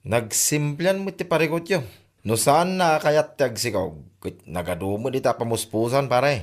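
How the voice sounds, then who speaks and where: A man's voice: medium pitch, lively intonation, normal speed, lightly acted. A man playing a frank buddy and confidant with an Ilocano Filipino accent in a radio comedy drama. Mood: questioning, advising. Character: husband's male buddy, frank, advising confidant.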